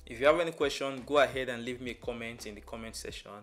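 A young man presenting an instructional tutorial in a measured, step-by-step manner. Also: medium pitch, a bit bright. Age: young adult. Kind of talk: instructional explanation to camera. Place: small furnished room indoors.

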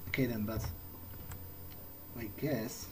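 A man thinking aloud in character as he plays a roleplaying game, musing haltingly to himself. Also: on the low side, steady tone, background noise.

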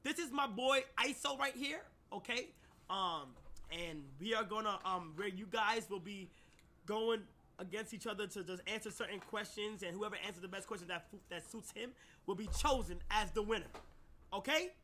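A man hosting a group video call on a livestream, firing off quick questions and calling out names loudly. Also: high-pitched, a little hiss or hum. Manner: loud, rapid roll-call questions. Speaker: stream host.

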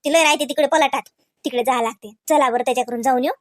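A woman playing a chatty, dismissive village mother-in-law in a comedy cartoon, speaking with a rural Maharashtra accent in a brisk, insistent, reassuring way. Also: high-pitched, dry recording, clean studio sound, close to an everyday voice. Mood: chatty, coaxing. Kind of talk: Marathi comedy cartoon acting.

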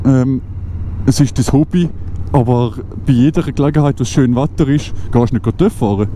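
A man's voice: mid-range voice, brisk, warm tone. A man in his twenties with a Swiss German accent, chatting casually while riding a motorcycle, thinking aloud with many fillers and restarts. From Switzerland. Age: 20s. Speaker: motovlogger. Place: outdoors on a moving motorcycle on country roads. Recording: wind and engine noise from riding.

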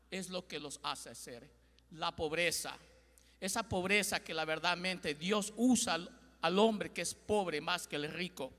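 A man's voice: high voice, pushed and bright. A middle-aged man from Ecuador preaching a sermon on a large church stage into a handheld mic, calling for responses from the congregation. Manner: preaching with call-and-response, gesturing and pacing the stage. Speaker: pastor. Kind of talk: Spanish-language church sermon. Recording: long hall reverb.

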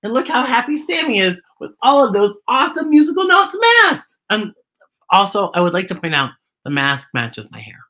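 A middle-aged American man hosting a children's storytime, reading aloud in a theatrical, exclamatory way with drawn-out emphasis. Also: sits high, big pitch swings, pushed and bright. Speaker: host and storyteller of a children's show.

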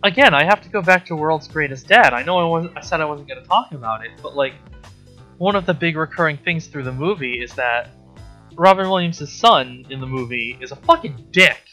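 A man's voice: fairly high pitch, animated, fairly quick, sharp tone, soft background music. A man reading aloud and commenting off the cuff as a host, sarcastic and incredulous, with exasperated, profane asides.

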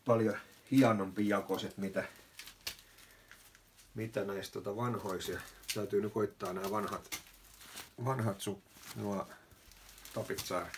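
A middle-aged man giving laid-back, casual commentary while he works with his hands in a small garage. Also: fairly low pitch. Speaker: amateur mechanic presenting own repair video. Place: small wooden garage or shed, indoors. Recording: noisy amateur workshop recording, clicks.

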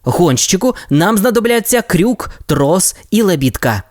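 A calm young boy leader gives orders in a reassuring, commanding voice, as a woman reading a storybook puts him on. His pitch moves a lot. The sound is dry and close. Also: measured pace, no echo.

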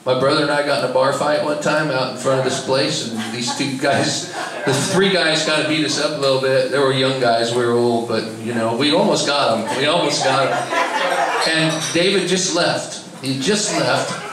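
A man's voice: medium pitch, moderate pace. A middle-aged man hosting an open mic, telling a casual personal story to the audience. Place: bar lounge with audience. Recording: echoey bar, distant mic, crowd noise.

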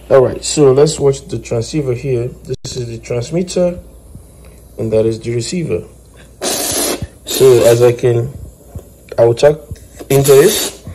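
A man explaining step by step in a halting way, as host of a hands-on electronics tutorial. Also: mid-range voice, warm tone, low-quality recording. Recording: clear background noise with clicks.

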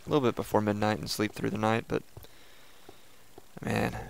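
A young man with a raspy voice, casually chatting and thinking aloud as he hosts a gameplay video. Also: fairly low pitch, normal speed. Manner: relaxed, chatty, thinking aloud while playing. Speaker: let's play host.

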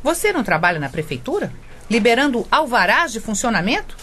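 A woman's voice: a little high, big pitch swings, fairly quick, a bit bright, slight background noise. An actress playing a middle-aged mother in a radio soap, coaxing and scheming to get her way. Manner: coaxing, wheedling. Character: scheming mother Nélia, sweet-talking cook.

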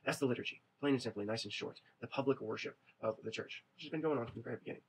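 Male teacher, 30s, rapid conversational webcam lecture, constant "right?" check-ins, faint room hiss. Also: mid-range voice, creaky, rough recording. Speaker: religion teacher. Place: small indoor room. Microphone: webcam at desk.

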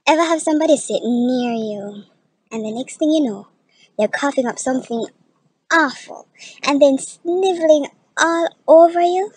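Woman acting high-voiced, exasperated germ-averse complainer. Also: normal speed, darkish tone, dry recording, natural voice, poor audio. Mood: disgusted complaint. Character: exasperated woman disgusted by sick people's germs. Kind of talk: theatrical audition monologue.

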